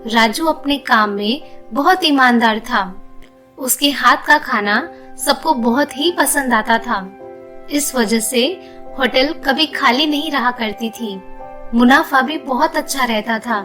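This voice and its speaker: A woman's voice: high-pitched, slow pace, pushed and bright, soft background music. A woman giving scripted narration of children's moral stories.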